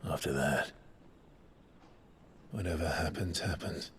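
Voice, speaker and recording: A man playing a brooding, vengeful young warrior in a video game, intense and halting. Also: rumbling low, a bit bright, a little hiss or hum, full character voice. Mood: grim, resolute. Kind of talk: dramatic video game acting.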